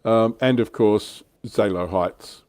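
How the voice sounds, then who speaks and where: A man with a low, mellow voice talks through history like a livestream host. His delivery is informal and keen, recorded close and dry. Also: slow, smooth, no room sound. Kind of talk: casual explanatory history chat.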